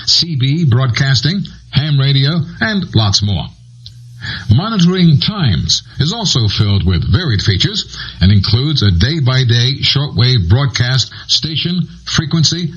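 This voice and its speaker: American male narrator for an educational guide: very husky, animated delivery, conversational, dull cassette sound. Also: mid-range voice. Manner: explanatory, conversational asides. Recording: dull lo-fi old cassette transfer.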